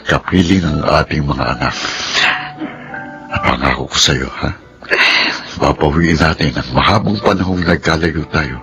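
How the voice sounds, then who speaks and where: An actor playing a tender, devoted newlywed husband, speaking earnestly and romantically in a Filipino radio drama. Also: male voice, very deep voice, measured pace, pauses often. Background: music.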